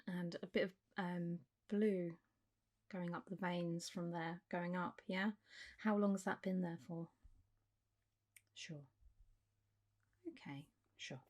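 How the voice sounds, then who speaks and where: A woman playing a calm, reassuring doctor in a soft-spoken ASMR roleplay, gently drifting in and out of whispers. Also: on the low side, takes it slowly, pauses often. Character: calm, reassuring female clinic doctor for zombie patients. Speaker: ASMR performer.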